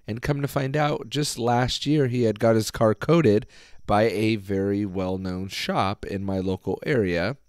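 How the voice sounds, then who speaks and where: A man hosting a podcast, talking casually and hoarsely with his voice nearly gone, rambling with fillers and restarts. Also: lowish voice, fairly even intonation, a little slow, a little mellow, dry recording, clean studio sound. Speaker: podcast host. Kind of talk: casual solo podcast talk.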